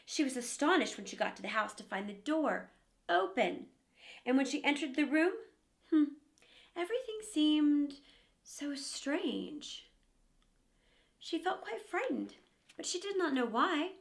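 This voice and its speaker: High-pitched, animated woman storyteller. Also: unhurried, gravelly, pauses often. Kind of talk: expressive children's story narration.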